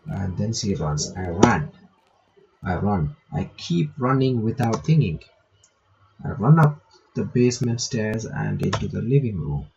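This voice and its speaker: A man's voice: lowish voice, lively intonation, measured pace, vocal fry, lo-fi sound, background noise. A man reading visual novel text aloud with casual commentary, breaking off to remark and reread lines. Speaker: gaming reaction video maker.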